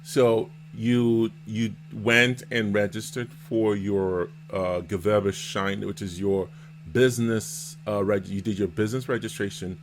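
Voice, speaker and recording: Low-voiced man hosting a casual interview. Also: level tone, a little slow. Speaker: host, Amazon seller and mentor. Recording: noticeable background noise.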